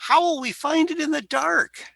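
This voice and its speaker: Bright, creaky, joking big brother, acted by a man. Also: very high voice, moderate pace, no echo, exaggerated voice. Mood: consoling. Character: joking, tipsy 1920 older brother, flippant but caring. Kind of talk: radio play acting.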